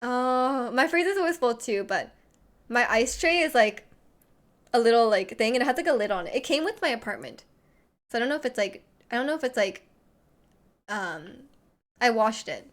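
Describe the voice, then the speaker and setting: A young woman streamer with a slight lisp, chatting casually and rambling with lots of "like" while reading chat messages. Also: on the high side. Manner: rambling, heavy use of "like".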